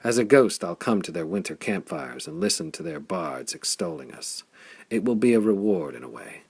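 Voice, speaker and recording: A battle-worn swordsman voiced by a male narrator with a deep, gravelly voice. He is a grimly cheerful pulp adventure hero. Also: sing-song, normal speed, darkish tone, dry recording, heavily acted. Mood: wry resignation. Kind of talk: audiobook character voice.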